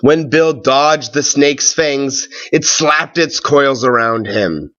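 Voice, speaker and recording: Slow-paced man reading a storybook, slightly muffled. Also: medium pitch, a bit bright. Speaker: read-aloud storyteller. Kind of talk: expressive storybook narration.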